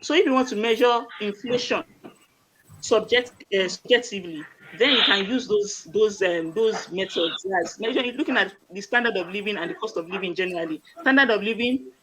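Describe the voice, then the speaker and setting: Bright-voiced woman explaining. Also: medium pitch, fairly quick, slight background noise, poor audio. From Nigeria. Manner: plain explanatory, repeats key phrases. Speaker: medical doctor, panel guest. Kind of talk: explaining to a live online audience.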